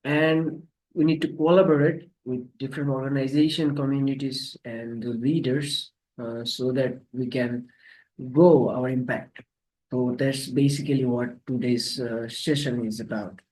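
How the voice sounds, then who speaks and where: A man hosting an online webinar, explaining its topics in a measured way. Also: mid-range voice, steady tone, darkish tone, poor audio. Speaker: webinar host. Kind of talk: webinar presentation.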